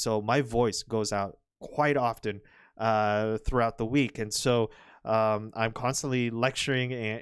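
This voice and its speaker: A young man commenting on a Go match, chatty and rambling, his voice a little hoarse from overuse. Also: mid-range voice, no room sound, studio quality. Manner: chatty, rambling, frequent restarts and fillers. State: slightly hoarse, recovering voice. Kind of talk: casual game commentary.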